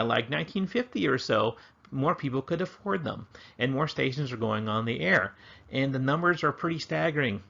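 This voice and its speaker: A man's voice: medium pitch, creaky, a bit bright, muffled recording. An American man giving a casual history lecture as a teacher, informal, conversational and lightly wry.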